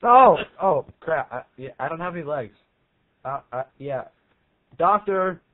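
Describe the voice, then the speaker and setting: Dude playing a jumpy slangy sidekick, stammery, animated highish voice, noisy muffled recording. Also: male voice, measured pace. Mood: alarmed. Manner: casual, stammering, exclamatory. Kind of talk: amateur comedy skit acting. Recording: muffled lo-fi audio with noise and clicks.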